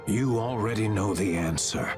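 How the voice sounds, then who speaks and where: A man as a deep, cold, formal commander. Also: close to an everyday voice. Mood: cold, firm. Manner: formal, measured official phrasing. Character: cold, authoritative male commander, formal and unyielding. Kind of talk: dubbed video game cutscene acting. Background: music.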